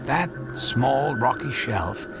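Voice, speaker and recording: An actor playing an obsessive, apologetic middle-aged scientist in a radio drama, explaining earnestly with stammers and halting starts. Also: male voice, mid-range voice, expressive, creaky, over loud music. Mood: earnest, hushed awe. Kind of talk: dramatized radio play acting.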